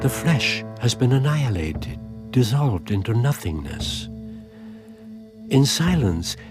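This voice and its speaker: A man reading dramatic scripted narration as a grave, measured literary narrator. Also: medium pitch. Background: music.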